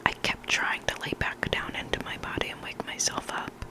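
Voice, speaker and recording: Whispered creepy ASMR storytelling, close to the mic. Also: normal speed. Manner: whispering throughout, sometimes repeating words. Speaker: ASMR reader of listener stories. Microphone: close ASMR microphone.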